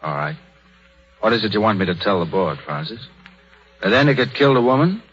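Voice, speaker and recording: Young stoic surgeon, low voice, lively swings, wry bitterness, old radio play. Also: male voice, brisk. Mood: tipsy, wry. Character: young idealistic surgeon, stoic, self-sacrificing, wryly bitter. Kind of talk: 1930s radio drama acting. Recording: muffled 1930s radio broadcast with music.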